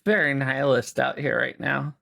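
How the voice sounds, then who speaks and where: A man from the United States in a casual podcast chat, blunt and sweary in a bleak way. His voice is gravelly with a lot of fry, at a middle pitch. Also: steady tone, normal speed, bright, forceful tone. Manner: blunt, profane, nihilistic. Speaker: podcast co-host.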